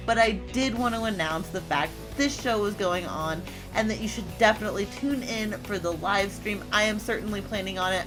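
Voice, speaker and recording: An American woman with an average-pitched voice chats over clearly audible music. She sounds slightly tipsy, informal and enthusiastic, like a playwright making a spontaneous announcement to followers of a video channel. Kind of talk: casual impromptu vlog announcement. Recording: music playing behind the voice.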